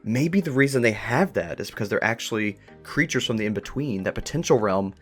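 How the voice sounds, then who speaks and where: A man's voice: fairly low pitch, animated, brisk, faint music behind. A man hosting a thoughtful interview conversation, speaking in a reflective, wordy way as he talks ideas through. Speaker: podcast host interviewing creators.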